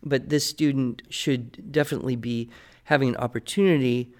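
A man's voice: medium pitch, steady tone, moderate pace. An American man answering listener questions on a podcast as the expert, conversational, articulate and opinionated as he explains. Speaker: podcast guest expert, writing teacher.

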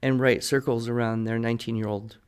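Male, American, podcast expert. Articulate, opinionated explainer with a conversational mid-pitched delivery. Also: flat delivery, brisk, clean tone. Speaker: podcast guest expert, writing teacher. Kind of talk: conversational advice, answering listener questions.

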